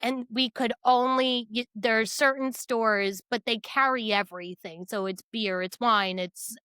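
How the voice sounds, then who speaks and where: Bright-voiced American woman, casual co-host. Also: a little high, fairly even intonation, unhurried, clear, resonant tone, dry recording. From New Jersey, United States. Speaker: podcast co-host. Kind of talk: casual chat.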